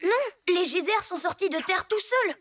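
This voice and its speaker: A woman's voice: very high-pitched, moderate pace. A woman voicing an earnest, sensible six-year-old superhero boy in a children's cartoon. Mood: wary. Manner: earnest, reasoning. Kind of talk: animated children's series dubbing. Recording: loud music under the voice.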